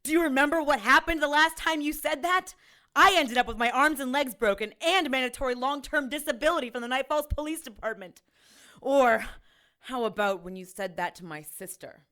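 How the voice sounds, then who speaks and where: A woman's voice: sits high, fairly quick, pushed and bright. A woman playing a tough, exasperated ex-cop in an audio drama, with a blunt, sardonic manner. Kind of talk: naturalistic audio drama acting.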